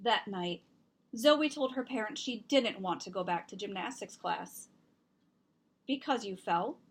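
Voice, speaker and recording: A woman reading a children's picture book aloud, expressively and deliberately, with emphasized words. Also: mid-range voice, animated, breathy edge. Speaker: storybook reader and host.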